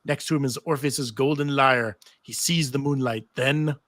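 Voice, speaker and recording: A man's voice: medium pitch, fairly even intonation, moderate pace, fairly bright tone, no room sound, clean studio sound. A man narrating stage directions in a live table read of a TV script.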